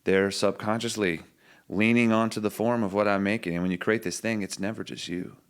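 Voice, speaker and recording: Deep-voiced man musing as a podcast guest. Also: steady tone, a little fast, clean tone. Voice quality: low singing voice. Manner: thoughtful, musing, loose restarts. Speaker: singer-songwriter guest. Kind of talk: reflective podcast conversation.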